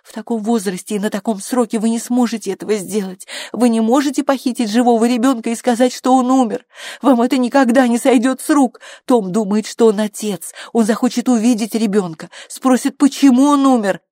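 A woman's voice: mid-range voice, brisk, warm tone, no room sound, studio quality, voice pushed up, close to an everyday voice. A woman playing a firm, sensible midwife who reasons insistently, in an audiobook. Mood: indignant, insistent. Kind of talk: audiobook character acting.

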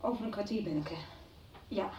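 A woman's voice: mid-range voice, brisk, mellow, a little hiss or hum, close to an everyday voice. An actress playing a woman hotly defending a dead friend against her friends' judgement. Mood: defensive. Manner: emotional, defensive. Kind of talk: 1990s radio soap acting.

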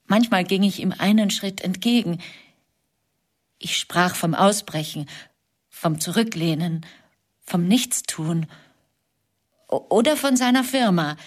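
An actress playing a grieving middle-aged widow in a German radio drama, speaking in a hushed, halting, confessional way with pauses between short phrases. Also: female voice, mid-range voice. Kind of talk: German radio drama acting, intimate monologue.

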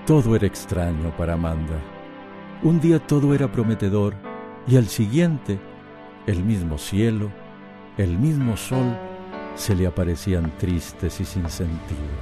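A male narrator reads a scripted radio drama in a dramatic style. His voice is on the low side. Music plays clearly underneath. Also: expressive, a little slow.

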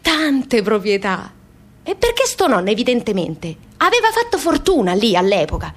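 A woman's voice: a little high, wildly swooping pitch, normal speed. A woman playing a teasing, insistent young daughter in a live radio play, talking conversationally. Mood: animated, arguing. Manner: conversational, repetitive, pedantically correcting. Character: young adult daughter, teasing, insistent, questioning her mother. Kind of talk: live radio theatre acting.

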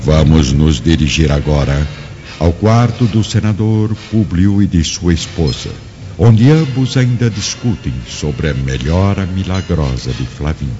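A man's voice: low voice, expressive, measured pace. A man delivering scripted narration for a radio drama. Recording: muffled lo-fi radio drama, music underneath.

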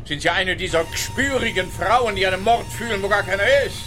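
An actor playing a pompous, sarcastic, exasperated police officer with a Swiss accent in a comic radio crime play. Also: male voice, high-pitched, brisk, pushed and bright, soft background music.

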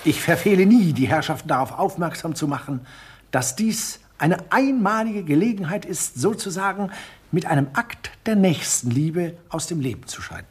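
Give me a silky, sadistic radio-drama villain: husky, rather high, his voice swooping up and down with mocking relish. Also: expressive, moderate pace. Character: suave sadistic villain, middle-aged man, mocking. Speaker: villain Sir Bogomil. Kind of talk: German radio drama acting.

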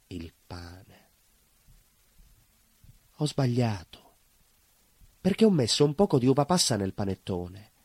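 An actor playing a bitter, obsessive southern Italian baker in a theatrical monologue, husky and emphatic, repeating himself and dropping low. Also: male voice, darkish tone, no room sound, full character voice. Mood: brooding. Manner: emphatic repetitions, dropping to near whispers.

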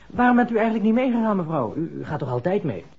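An actor playing a chatty, deferential household servant in a 1960s radio drama. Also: male voice, high-pitched, sing-song, fast, vocal fry, breathy edge, exaggerated voice. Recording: dull, faintly noisy old radio recording.

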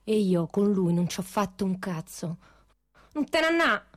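A woman's voice: mid-range voice, big pitch swings, moderate pace, noisy background, close to an everyday voice. An actress playing a crude, insistent young girlfriend with a Roman accent in a radio drama. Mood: pleading. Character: young Roman girlfriend, Eurydice, pleading, defiant, foul-mouthed.

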